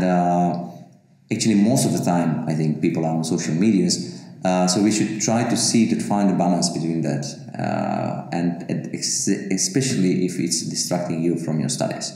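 Deep gravelly man in an echoey office. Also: expressive, normal speed, dark tone. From Bosnia. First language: Bosnian. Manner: hesitant, many restarts and fillers. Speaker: university professor. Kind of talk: answering interview questions to camera. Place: office with bookshelves, indoors.

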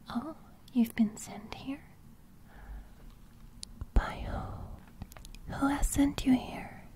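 A young woman voicing a gentle, caring sleep fairy in a soft, soothing ASMR roleplay, close to the microphone. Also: sits high, voice pushed up. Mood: curious, gentle. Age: young adult. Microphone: close studio microphone.

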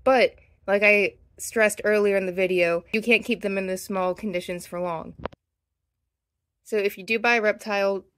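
A woman's voice: medium pitch, fairly even intonation, normal speed, a bit bright, slight background noise. A woman narrating an informational hobby vlog as its host, giving practical advice in voice-over.

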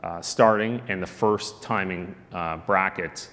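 A man's voice: low-pitched, a little slow, fairly bright tone, in a large hall. A middle-aged man giving a conference talk at a lectern, conversational, with frequent ums and uhs. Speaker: keynote speaker on sports analytics. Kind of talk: conference presentation. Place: large conference hall, at a lectern. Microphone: lectern microphone.

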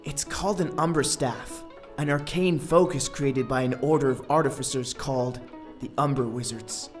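A woman playing a brisk, knowledgeable guide in a fantasy comic dub, explaining things in a matter-of-fact way. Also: rumbling low, soft background music.

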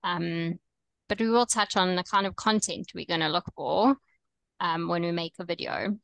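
A young woman with a South African accent, coaching online teachers over a video call in a relaxed, chatty way with frequent ums. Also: fairly low pitch, normal speed, vocal fry, dry recording, poor audio. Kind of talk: webinar presentation.

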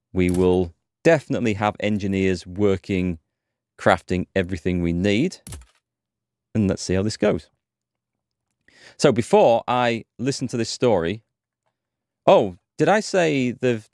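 A man's voice: lowish voice, a little mellow, no echo, studio quality. A man in his thirties with a North Yorkshire accent, chatting casually and thinking aloud while playing a game on a headset mic. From England. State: slightly under the weather with a cold. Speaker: gaming streamer. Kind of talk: casual let's-play commentary. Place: home streaming room, indoors.

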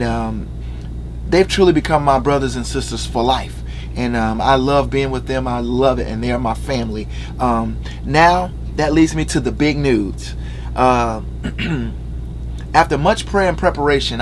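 A man's voice: medium pitch, a little hiss or hum. A man in his thirties making an earnest, upbeat announcement to fans from a small living room, partly reading from notes with fillers. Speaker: musician addressing fans. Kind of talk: casual direct-to-camera announcement.

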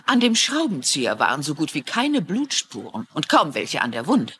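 A woman's voice: sits low, huge pitch swings, normal speed, fairly bright tone, a little hiss or hum, full character voice. An actress playing a shrewd, polite older woman amateur sleuth in a German-dubbed TV mystery, precise and probing. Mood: firm, reasoning.

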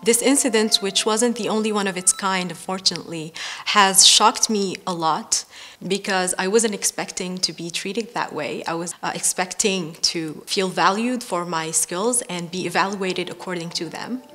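A young woman from Morocco, a data scientist, speaking earnestly in a seated interview with measured pauses and some "uh"s. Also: on the low side, fairly bright tone. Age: young adult. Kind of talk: corporate interview. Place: bright furnished indoor room.

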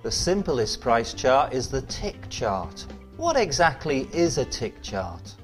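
A middle-aged man presenting scripted instructional narration in a measured, explanatory way, posing rhetorical questions. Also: medium pitch, sing-song. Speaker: presenter of an educational film. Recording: music bed behind studio voice. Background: instrumental music.

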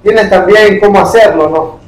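A man interviewing and gently questioning a guest, often repeating words as he goes. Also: high-pitched, normal speed, a little hiss or hum. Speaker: interviewer giving money. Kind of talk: scripted charity interview. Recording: echoey room with music behind.

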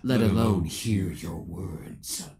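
Man acting a low-voiced, possessive young villain. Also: slow, gravelly, dark tone, a little hiss or hum, heavily acted. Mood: possessive, controlled. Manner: theatrical, formal, taunting, pet names. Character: obsessive young male villain, possessive, menacing, coldly affectionate. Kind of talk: roleplay audio drama acting.

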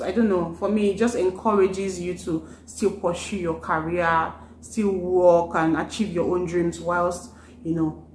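A woman's voice: fairly low pitch, a little mellow. Chatty Nigerian woman in a roomy living room. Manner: chatty, says "like" constantly, gestures a lot. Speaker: vlogger. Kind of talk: casual talk to camera. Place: living room with a sofa, indoors. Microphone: camera in front of her. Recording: roomy sound with faint background hum.

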